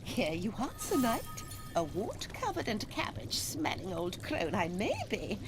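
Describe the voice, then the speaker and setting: A woman's voice: medium pitch, wildly swooping pitch, measured pace. A woman voicing a sly, teasing old forest witch in a video game, theatrical and mock-deferential. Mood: pleased, crooning. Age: older. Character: sly, wart-covered old forest witch, cackling crone. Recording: music under the voice. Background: game music.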